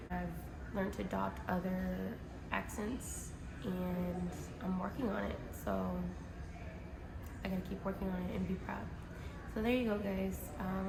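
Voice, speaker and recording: An American woman talks earnestly in a personal vlog, pausing and restarting as she searches for her words. Her voice has a gravelly edge and sits at a middle pitch. She records on a phone in an echoey airport hall with steady noise behind her. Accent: American English. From United States. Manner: earnest, hesitant, with frequent ums and restarts. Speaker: vlogger. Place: airport terminal. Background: airport ambience.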